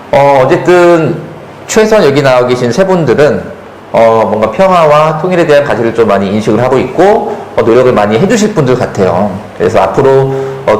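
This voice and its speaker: Echoey man moderating a panel talk. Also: mid-range voice. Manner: relaxed, jokey, lots of fillers and affirming hums. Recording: echoey hall with faint noise.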